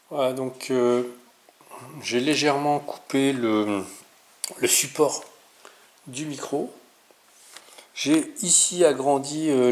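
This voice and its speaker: A man talks through a hands-on DIY tutorial in an informal, improvised manner. He hesitates and corrects himself as he goes, at an ordinary medium pitch. The sound is roomy, with some background noise. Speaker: DIY tutorial host on a sailing channel. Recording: roomy echo, clear background noise, clicks.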